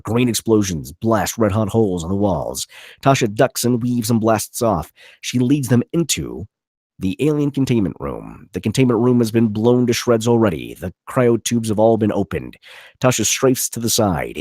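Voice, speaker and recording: A man's voice: on the low side, darkish tone, breathy edge, dry recording, clean studio sound. A man narrating stage directions at a script table read, with vivid, punchy dramatic delivery. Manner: vivid, punchy reading of action.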